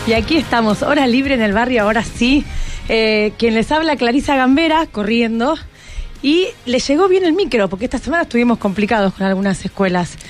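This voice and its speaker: A woman's voice: a little high, lively intonation, brisk. A woman with a Buenos Aires accent hosting a radio show, interviewing casually and encouraging people to speak. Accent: Argentine, Buenos Aires. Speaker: radio host. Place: radio studio. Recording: music playing behind the voice.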